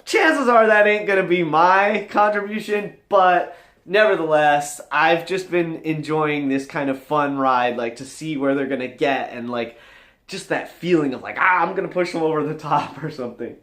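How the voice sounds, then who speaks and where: An upbeat, high-energy man chatting casually as a vlog host, his voice moving animatedly up and down. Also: unhurried. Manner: animated, high-energy, rambling with swooping register. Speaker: vlogger and host. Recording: echoey room.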